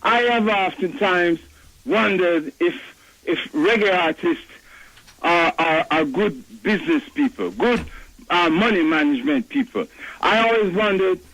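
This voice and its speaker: An older man calling in by phone to a radio show, making an earnest, measured point with some halting restarts. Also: high voice, expressive, a bit bright, low-quality recording. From Jamaica. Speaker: caller. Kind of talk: call-in comment. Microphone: telephone.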